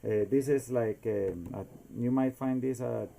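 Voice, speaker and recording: Costa Rican man, Spanish-accented, unhurried and gravelly, haltingly explaining a homemade device, faint background noise. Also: mid-range voice, dark tone. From Costa Rica. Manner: halting, searching for words, with Spanish filler words. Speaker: amateur inventor demonstrating a homemade device. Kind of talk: informal explainer video.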